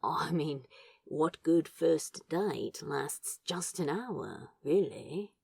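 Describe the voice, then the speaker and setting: A woman's voice: low-pitched, lively intonation, drawn-out words, gravelly, a little mellow, heavily acted. A woman playing a smitten, awkward but earnest man on a first date in an audio story, sincere and teasing.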